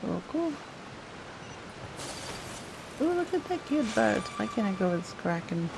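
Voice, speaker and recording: A woman hosts a casual video game playthrough. Her voice is medium in pitch with plenty of creak, and game music plays clearly behind her. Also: very animated, measured pace, poor audio. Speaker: gaming video host. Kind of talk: casual playthrough commentary, reading some lines aloud.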